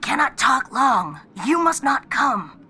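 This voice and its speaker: A woman's voice: high-pitched, very animated, takes it slowly, sharp tone, husky, full character voice. An actress playing a menacing female caller in a radio play, giving curt, stilted warnings in a strained, harsh voice. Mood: urgent, stilted. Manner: stilted, clipped, commanding.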